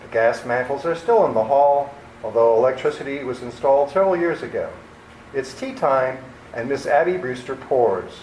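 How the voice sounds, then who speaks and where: A man's voice: medium pitch, expressive, normal speed, darkish tone. A man announcing and narrating an old-time radio play in scripted style. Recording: roomy recording with faint noise.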